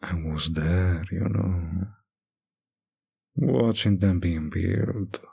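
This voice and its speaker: Man voicing a very slow, gravelly vampire bartender. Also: warm tone, full character voice. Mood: teasing, faintly menacing. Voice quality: breathy, gravelly low voice. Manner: slow, teasing drawl with long pauses. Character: centuries-old male vampire bartender, teasing, predatory, smugly charming. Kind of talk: intimate audio roleplay addressed to the listener.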